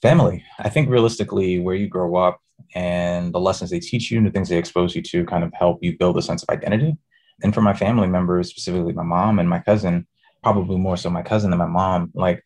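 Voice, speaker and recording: A very deep-voiced man with a gravelly, slightly husky tone. He is a design professor answering interview questions in a thoughtful, measured way. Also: darkish tone. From New York City. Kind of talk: podcast interview.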